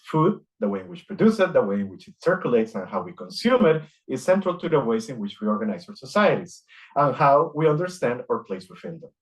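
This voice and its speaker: Measured male academic lecturer from Costa Rica. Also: mid-range voice, poor audio. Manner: reads from notes, measured, frequent filler "uh". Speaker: guest lecturer.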